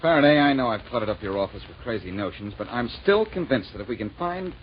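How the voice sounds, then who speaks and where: Persistent amateur sleuth, male actor, lively, earnest, vintage radio drama, dull sound. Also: medium pitch, quick pace, over loud music, natural voice. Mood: worried, urgent. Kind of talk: 1940s radio acting. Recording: muffled, noisy old radio broadcast.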